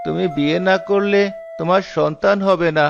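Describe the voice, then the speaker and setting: A frail, elderly cartoon beggar performed by a man drags out his words very slowly. The voice is creaky and pleading, yet shrewd. Music sits behind a muffled recording. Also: high-pitched, fairly even intonation. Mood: persuading. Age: older. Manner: slow, pleading, drawn-out speech. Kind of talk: Bengali cartoon voice acting.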